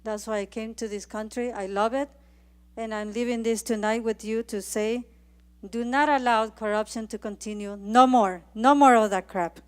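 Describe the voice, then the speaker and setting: Lady at a public meeting, highish clear voice, pleading hard, short punchy phrases. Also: steady tone, normal speed. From Ecuador. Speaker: resident speaking at a public hearing. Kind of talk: impassioned public comment speech.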